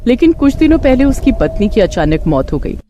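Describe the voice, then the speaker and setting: A woman storyteller reading a scripted moral tale in an expressive, dramatic way. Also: a little high, a little fast, a little hiss or hum.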